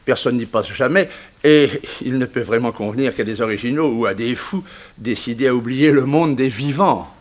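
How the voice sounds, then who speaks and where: An actor playing a shrewd, dry examining magistrate in a 1960s French radio drama, speaking formally and with authority. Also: male voice, medium pitch, moderate pace, close to an everyday voice. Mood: measured, reasoning.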